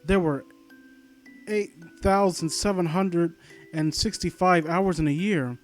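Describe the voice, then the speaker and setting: A man's voice: high-pitched, slow pace. A man reading a story aloud and breaking off into sarcastic, exasperated, profane commentary. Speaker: host reading and critiquing an online horror story. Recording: video game music playing behind. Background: glockenspiel-like video game music.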